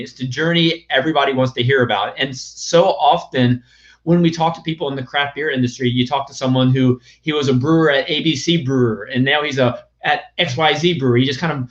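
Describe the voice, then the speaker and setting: A man's voice: medium pitch, dull audio. Enthusiastic man hosting over a video call. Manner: enthusiastic, wordy, with filler phrases. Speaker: podcast host.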